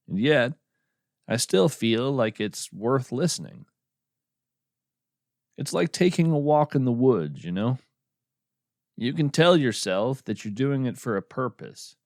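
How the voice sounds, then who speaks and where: A man playing a wry, musing naturalist who hosts a radio show of the uncanny, narrating in a measured, contemplative, folksy way. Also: mid-range voice, no echo, clean studio sound. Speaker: podcast host and narrator. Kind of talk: scripted narration, reflective storytelling with poetry reading.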